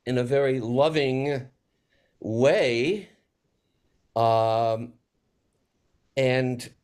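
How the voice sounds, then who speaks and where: A man in a reflective panel conversation, speaking as a theater maker in a halting, searching, earnest way with pauses. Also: mid-range voice, a little mellow.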